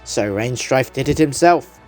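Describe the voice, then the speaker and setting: Theatrical male narrator, lively pitch. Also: measured pace. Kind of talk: mock-earnest dramatic story reading. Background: background music.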